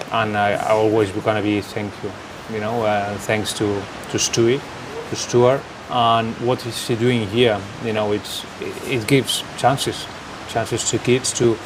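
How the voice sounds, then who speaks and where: A fairly low-voiced man, answering questions earnestly in slightly broken English. He often restarts his sentences. An air conditioner hums clearly behind him. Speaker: national team assistant coach, guest. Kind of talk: interview answers. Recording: background noise from air conditioning.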